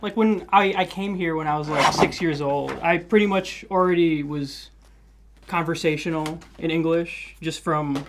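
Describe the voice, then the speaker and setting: A man's voice: a little high, moderate pace, noisy background. A young man from the Philippines, a livestream guest, chatting casually with dry jokes and a hesitant delivery. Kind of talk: casual chat.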